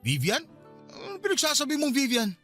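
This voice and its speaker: A man's voice: very high-pitched, wildly swooping pitch, a little fast, pushed and bright, faint music behind, exaggerated voice. A man playing a defensive, resentful husband in a Tagalog radio drama, dismissive and argumentative. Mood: defensive, annoyed.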